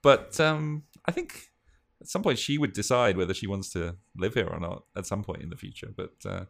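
Slightly low-voiced man chatting casually. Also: moderate pace, vocal fry, a bit bright. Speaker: podcast co-host. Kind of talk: casual chat.

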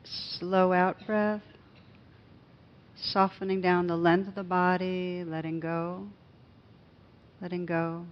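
A woman leading a guided meditation as a teacher, speaking gently and unhurriedly with pauses. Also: on the low side, flat delivery, lo-fi sound.

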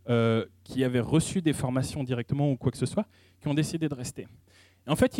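A man giving a sermon in a conversational style, pausing often and restarting his sentences as he speaks. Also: mid-range voice, dark tone, no echo. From French-speaking. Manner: conversational preaching with frequent hesitations and restarts. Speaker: preacher.

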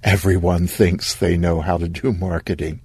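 A man voicing a chirpy, smugly corporate woman from human resources in a story, bright, singsong and condescending. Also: sits low, little pitch movement, normal speed, slightly rough, no room sound, studio quality. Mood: smug cheer.

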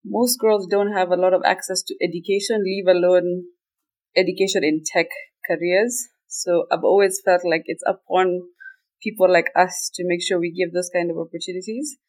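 A woman's voice: fairly low pitch, normal speed, poor audio. Twenties woman from Kenya, easygoing interview guest with frequent laughter. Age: 20s. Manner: relaxed, laughs often. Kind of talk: casual interview.

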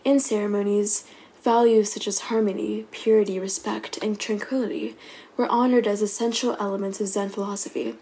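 A woman reads a prepared history segment in a steady, measured way. Her voice is dark and mellow and sits at a middle pitch. The delivery is plain and even throughout. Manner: measured, read from a script. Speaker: podcast narrator. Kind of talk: scripted narration.

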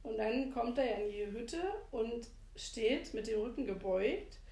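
Higher-voiced woman co-host in an echoey room. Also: unhurried, dark tone. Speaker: podcast co-host. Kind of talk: casual chat, discussing a book and film. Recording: echoey room with clear background noise.

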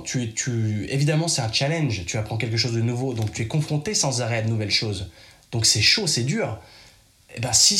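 A man's voice: medium pitch, a bit bright, echoey room. A French man giving casual advice in a chatty, direct way, speaking straight to the listener. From France. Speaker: podcast host.

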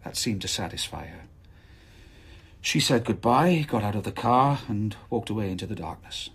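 A man's voice: on the low side, lively intonation, normal speed, creaky, fairly bright tone, breathy edge, full character voice. An actor playing an appalled, anxious married father in a British radio drama, earnest and agitated. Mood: uneasy, confessing.

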